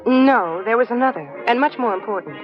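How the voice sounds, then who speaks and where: An actress playing a polite, soft-spoken young woman in a vintage radio drama. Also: on the high side, animated, fairly quick, faint music behind, close to an everyday voice. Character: polite young female heiress niece. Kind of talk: 1940s radio acting. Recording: muffled old radio recording.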